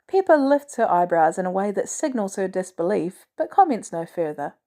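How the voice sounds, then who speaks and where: Female narrator for fan fiction, relaxed dark-leaning timbre, reading prose. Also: medium pitch, moderate pace. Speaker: fan fiction podfic reader. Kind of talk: scripted story narration.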